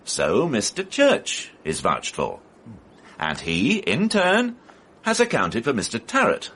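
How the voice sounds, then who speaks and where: An actor playing a calm, methodical police inspector in a radio drama, questioning formally and pointedly. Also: male voice, mid-range voice, slow pace, husky, exaggerated voice. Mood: measured, probing.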